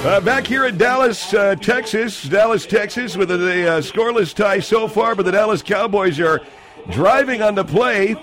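A man's voice: high voice, normal speed, over music. A man doing a comedic football play-by-play, rambling with many fillers and digressions. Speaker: sports announcer.

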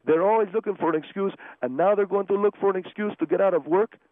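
A man's voice: high voice, fast talker, no room sound. A man calling in to a radio talk show, talking casually and rambling, often saying "man" and "you know". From United States. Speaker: caller. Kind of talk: radio call-in argument. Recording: tinny phone line, very dry.

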